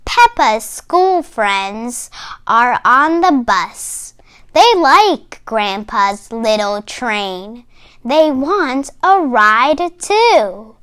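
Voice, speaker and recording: A child reading a children's storybook aloud in an expressive, sing-song way. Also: medium pitch, extremely slow, clean tone.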